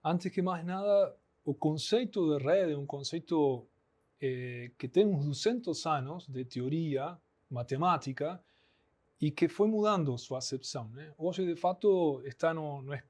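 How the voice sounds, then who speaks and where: A man with an Argentine Spanish accent, Spanish being his first language, speaks as an interview guest. His voice sits a little higher than most men's. He explains patiently, with small fillers between his thoughts. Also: a little mellow. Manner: explanatory, measured, frequent "né" and "eh" fillers. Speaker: guest, anthropologist. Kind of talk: podcast interview.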